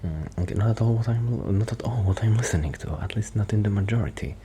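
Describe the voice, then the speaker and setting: A man doing a soft-spoken, half-whispered ASMR roleplay as a shy, quiet musician friend, rambling hesitantly and interrupting himself. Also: on the low side, quick pace, background noise, natural voice. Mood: thoughtful, rambling.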